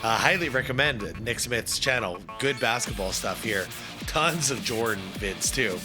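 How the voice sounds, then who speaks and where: A man with a hoarse voice from a cold, chatting casually with many fillers as he hosts a reaction video. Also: medium pitch, expressive, a little slow, over music.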